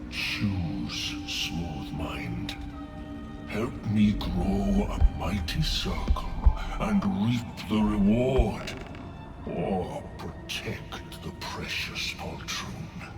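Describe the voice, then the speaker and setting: Menacing mushroom creature chieftain, power-hungry, grandiose, deep-set pitch, drawn-out delivery, video game drama, loud music behind. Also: male voice, animated, heavily acted. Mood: commanding, scornful. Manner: slow, grandiose, menacing speech. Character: vengeful, power-hungry male mushroom creature leader, slow and ominous. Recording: roomy echo under loud music.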